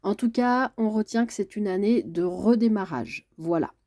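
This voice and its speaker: Card-reading vlogger, female, congested from a cold, halting delivery, deep warm timbre. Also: no echo, clean studio sound. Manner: hesitant, halting delivery with frequent pauses. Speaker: tarot card reader and channel host. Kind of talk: casual personal video message.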